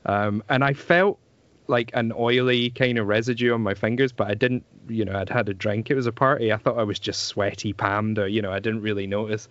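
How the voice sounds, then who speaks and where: A man's voice: fairly low pitch, steady tone, fairly quick, fairly bright tone, no room sound, muffled recording. A man co-hosting a podcast with casual comic chat, jokey and self-deprecating as he tells anecdotes. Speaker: podcast co-host.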